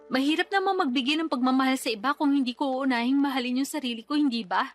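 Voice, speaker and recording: A woman plays a high-voiced young mother in a radio drama. She is weary and defensive, politely protesting as she justifies herself, with soft music underneath. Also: fast talker, no room sound, close to an everyday voice. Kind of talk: Tagalog radio drama acting.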